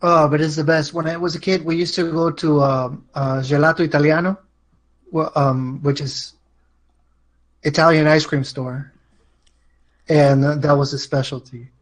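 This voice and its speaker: A man's voice: fairly high pitch, little pitch movement, normal speed, long pauses. A man answering questions as a guest on a casual show, easygoing and a little hesitant. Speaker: show guest who hosts a songwriters' talk show. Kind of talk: casual interview chat.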